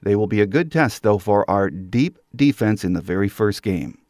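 A man's voice: lowish voice, expressive, normal speed, a little mellow, no room sound, clean studio sound. An American man hosting a sports podcast, speaking in a conversational, folksy announcing manner. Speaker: podcast host. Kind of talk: sports podcast monologue and interview intro.

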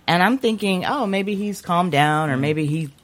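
Animated woman telling a blunt story. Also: fairly low pitch, moderate pace. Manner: blunt, profane, acts out quoted exchanges. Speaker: talk show co-host. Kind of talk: casual chat, animated storytelling.

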